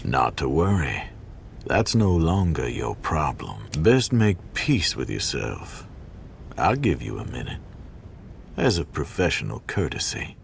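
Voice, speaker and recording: Gravelly, extremely deep menacing hitman. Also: big pitch swings, a little hiss or hum, exaggerated voice. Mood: cold menace. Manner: slow, menacing, mockingly polite. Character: menacing, unhurried scarred male hitman, coldly courteous.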